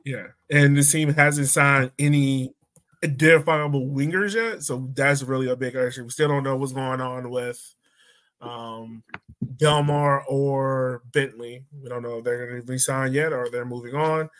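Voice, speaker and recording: A man's voice: medium pitch, steady tone, a little slow, a bit bright. A man hosting a casual sports podcast, talking in an opinionated, conversational way. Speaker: podcast host.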